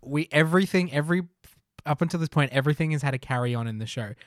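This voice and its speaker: A man's voice: fairly high pitch, rapid-fire, dry recording. Informal man in a dry-sounding chat. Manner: informal, peppers speech with "like". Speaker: podcast co-host. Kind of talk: casual chat about films and shows.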